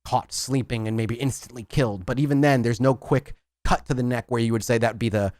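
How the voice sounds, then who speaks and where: A man's voice: mid-range voice, steady tone, a little fast, darkish tone, no room sound. A man narrating a tabletop roleplaying game as game master, emphatic and descriptive, often rephrasing as he goes.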